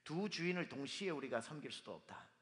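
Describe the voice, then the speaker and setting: Man, pastor, hoarse voice, emphatic sermon delivery, slightly high pitch, hall reverb. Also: lively intonation, quick pace, fairly bright tone. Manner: emphatic, with sung and quoted lines. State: hoarse from dust. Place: church sanctuary.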